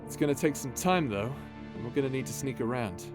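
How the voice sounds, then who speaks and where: A man's voice: mid-range voice, animated, fairly quick, close to an everyday voice. A man playing a cocky, playful space pirate captain in a casual, teasing ASMR roleplay drama. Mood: confident, sneaky. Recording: music under the voice.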